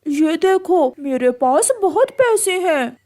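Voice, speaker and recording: A woman acts a cartoon boy crow, young and cheeky. The voice is very high and lively in pitch, with an excitable, generous temper. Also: slow pace, exaggerated voice. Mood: gleeful. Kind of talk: children's cartoon story acting.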